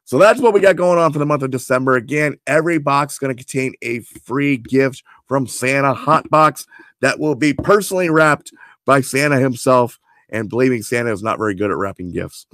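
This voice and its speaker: Hoarse man hyping trading cards. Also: mid-range voice, expressive, moderate pace, a bit bright, no echo. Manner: enthusiastic salesman, showing cards to camera. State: losing his voice from a cold. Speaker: card subscription box host. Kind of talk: product preview for subscribers. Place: home office room with shelves. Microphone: webcam at desk.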